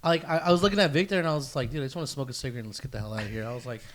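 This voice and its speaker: A man's voice: medium pitch, rapid-fire. A young man hosting a casual chat, speaking informally and often repeating his phrases. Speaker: podcast host.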